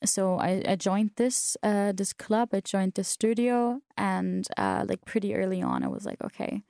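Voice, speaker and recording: A woman's voice: medium pitch, lively intonation, a little slow, creaky, mellow, dry recording, clean studio sound. A young woman whose first language is German, telling stories casually in an interview, with lots of fillers and restarts. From South Tyrol, Italy. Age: young adult. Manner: chatty, many fillers and restarts. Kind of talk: casual conversational storytelling.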